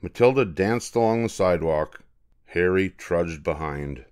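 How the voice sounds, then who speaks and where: Older male storybook narrator, deep register, reading for children. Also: lively intonation, measured pace, darkish tone, clean tone.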